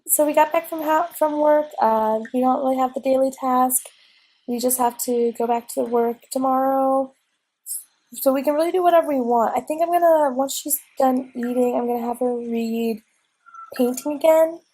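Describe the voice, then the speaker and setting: Young woman gamer, slightly high and husky, stuffy from a cold, chatty, unscripted home recording. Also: fairly even intonation, moderate pace, mellow, low-quality recording. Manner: rambling, chatty, frequent ums and restarts. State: recovering from a cold, sniffly. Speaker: let's play gamer. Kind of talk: casual gameplay commentary. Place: at home at a desk.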